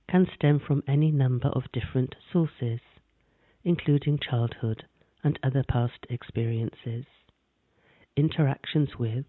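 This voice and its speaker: A man gently narrating a guided meditation in a calm, measured way. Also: mid-range voice, dry recording. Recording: dry, tinny phone-like sound.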